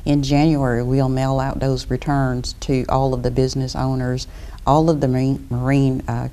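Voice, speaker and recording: Middle-aged woman from Florida, guest on a local cable interview show, mellow, methodical. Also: very deep voice. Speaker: property appraiser guest. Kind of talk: studio interview.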